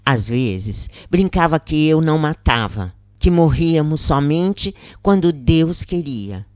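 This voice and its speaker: A narrator reading a scripted first-person account in measured, deliberate phrases with pauses. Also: medium pitch, lively intonation, a little mellow, dry recording, studio quality.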